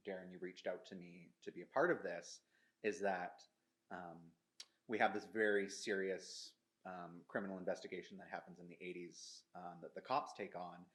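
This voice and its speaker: A man speaking reflectively in a group discussion, tentative and searching for words. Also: low voice. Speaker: panelist.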